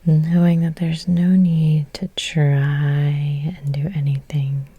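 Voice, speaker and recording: A woman whispering a guided ASMR hypnosis in a breathy, gentle, soothing way, close to the microphone with long pauses. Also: low-pitched, little pitch movement, dry recording, highs cut off.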